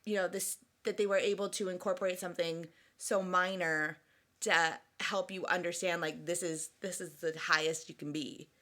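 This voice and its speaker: A woman's voice: lowish voice, fairly even intonation, moderate pace, sharp tone. A woman co-hosting a podcast in casual chat, giggly and chatty, often restarting her sentences. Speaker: podcast co-host.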